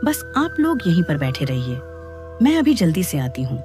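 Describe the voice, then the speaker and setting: A woman's voice: lowish voice, wildly swooping pitch, normal speed. Lady doing creepy spirit girl in a horror story, super deferential but pushy, voice swings all over, faint music. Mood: polite, insistent. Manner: deferential, insistent. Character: eerie shape-shifting spirit posing as deferential village girl. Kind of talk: horror audio story acting.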